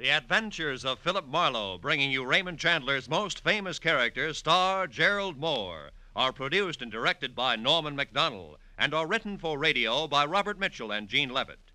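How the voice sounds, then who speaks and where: Casting: American male 1940s network announcer, lively intonation, very bright tone, formal and measured, old radio sound. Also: on the high side, no room sound, poor audio. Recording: vintage radio recording.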